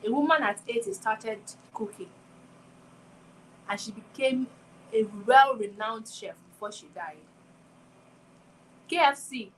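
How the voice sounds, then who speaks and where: A woman's voice: on the high side, measured pace, pauses often, a little hiss or hum, rough recording. Reflective young woman posing rhetorical questions. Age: 20s. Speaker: podcast host. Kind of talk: solo motivational talk.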